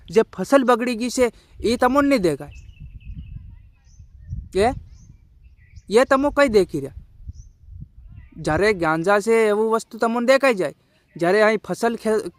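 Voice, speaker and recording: Male community commentator, high pitch, dry sound, colloquial and repetitive halting delivery. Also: a bit bright, dry recording. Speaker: video creator and community commentator. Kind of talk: informal social media commentary addressed to viewers.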